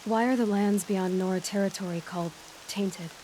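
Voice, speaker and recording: An actress playing a young outcast huntress in a video game, direct, determined and skeptical. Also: female voice, mid-range voice, steady tone, moderate pace, warm tone, a little hiss or hum, natural voice, low-quality recording. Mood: questioning. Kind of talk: naturalistic video game acting.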